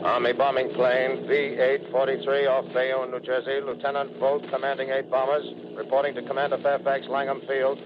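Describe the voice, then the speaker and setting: A man's voice: medium pitch, completely flat delivery, moderate pace, hardly any pauses, dull audio, heavy background noise, close to an everyday voice. An actor playing a dutiful male bomber pilot giving clipped battle reports in a 1930s radio drama, on an old broadcast recording. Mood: controlled, urgent.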